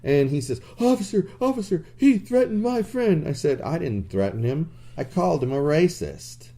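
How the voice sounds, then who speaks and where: A man co-hosting a podcast, telling a story in a blunt, animated way with crude jokes. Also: fairly high pitch, moderate pace. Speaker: podcast co-host. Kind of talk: casual chat.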